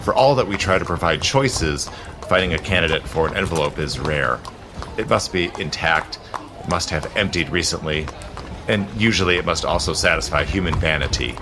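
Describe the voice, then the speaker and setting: An actor playing a suave, zealous immortal gentleman in a period audio drama, with a smooth, persuasive, formal manner. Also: low-pitched, expressive, moderate pace, background noise. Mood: explaining, urbane. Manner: smooth, persuasive, theatrical, genteel formal phrasing.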